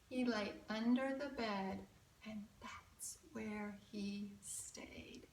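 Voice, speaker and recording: A woman reading a picture book aloud to children in an expressive, engaging way. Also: medium pitch, drawn-out words, slight background noise. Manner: expressive, asks the young viewers questions. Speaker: children's storyteller at a church story time. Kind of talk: animated read-aloud for children. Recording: roomy, from a distant mic.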